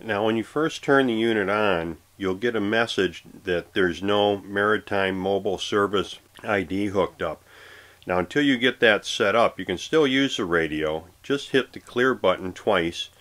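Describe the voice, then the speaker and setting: A man with a low, forceful voice hosts a hands-on boating tutorial. His delivery is casual and explanatory, with a bright edge to the tone. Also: normal speed. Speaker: sailor presenting his own boat installation video. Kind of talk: how-to narration with casual demonstration.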